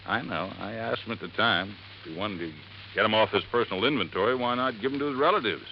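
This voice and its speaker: An actor playing an earnest, folksy American resort handyman in 1950s radio drama, telling things in a rambling, unhurried way. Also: on the low side, creaky. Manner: folksy, rambling storytelling with dropped formal grammar. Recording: dull, slightly noisy vintage radio recording.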